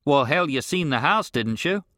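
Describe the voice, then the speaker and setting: A man's voice: fairly high pitch, expressive, moderate pace, pushed and bright, dry recording, clean studio sound, pitched above the performer's own voice. A man voicing a blunt, exasperated older male rancher, drawling. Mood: gruff. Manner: gruff drawling, raised voice.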